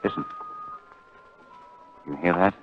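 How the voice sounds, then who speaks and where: A muffled 1940s radio broadcast carries a calm, commanding male detective. His voice is low and swings widely in pitch. He speaks with urgent authority, in old radio-thriller style. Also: slow pace, music in the background. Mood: tense, watchful. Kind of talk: 1940s radio drama acting.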